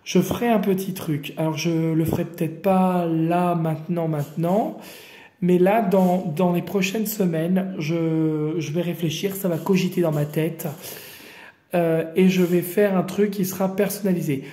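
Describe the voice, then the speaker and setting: A man from the Paris region gives an improvised oracle card reading in a roomy, echoing space. His voice sits a little high but sounds mellow and soft-edged. He rambles along in a familiar way, speaking right to the viewer as a friend. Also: fairly even intonation, a little slow. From Paris region, France. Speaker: card reader hosting daily guidance videos. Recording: roomy echoey room.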